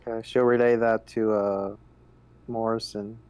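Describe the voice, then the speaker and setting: A man playing a tabletop roleplaying game, describing his character's actions in a casual, halting way. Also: fairly low pitch, flat delivery, a little mellow, clean tone, dry recording.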